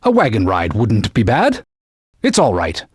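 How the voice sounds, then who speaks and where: A man voicing a haughty, pompous talking sword that guides and scolds its wielder in a formal, stern manner, with a slightly husky voice. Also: huge pitch swings, a little slow, no echo, studio quality, heavily acted. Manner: pompous, formal and scolding, turns shrill when alarmed. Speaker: sidekick guide. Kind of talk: video game voice clips. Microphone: dry studio mic.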